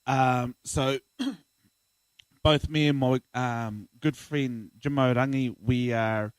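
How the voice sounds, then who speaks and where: Casting: young New guy, pumped-up laughing banter, mid-pitched voice. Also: fairly even intonation, slow pace, clear, resonant tone, no room sound, poor audio. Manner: laughing, hyped-up banter with slang like "bro". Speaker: guest. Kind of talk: casual podcast interview.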